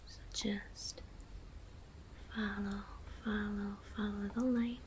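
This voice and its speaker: Slow, breathy whispering woman. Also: mid-range voice, level tone, a little hiss or hum. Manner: slow, hushed, gently instructing. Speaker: ASMR roleplayer playing an eye examiner. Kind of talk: ASMR whispering roleplay. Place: small indoor studio with dark backdrop.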